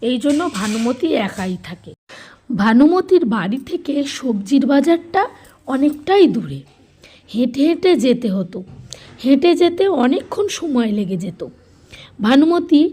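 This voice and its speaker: A woman narrating a scripted children's story as a storyteller, with a slightly husky voice. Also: sits high, very animated, normal speed, dark tone.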